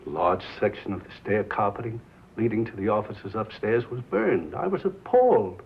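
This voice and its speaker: An actor playing a mild, earnest small-town station owner, halting and formal, as if confessing, in a 1950s film drama. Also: male voice, fairly low pitch, very animated, gravelly. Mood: appalled, bitter. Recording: muffled, hissy 1950s film soundtrack.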